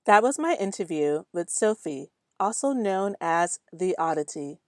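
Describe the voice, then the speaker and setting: Low-voiced woman host. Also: lively intonation, dry recording, faint music behind. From Nigeria. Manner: measured, academic. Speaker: podcast host, researcher. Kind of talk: interviewing, then scripted narration.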